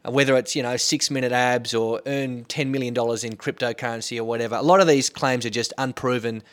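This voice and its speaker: A man's voice: mid-range voice, steady tone, fast talker. A man hosting a podcast interview, talking earnestly and telling a personal story before asking his guest a question. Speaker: podcast host.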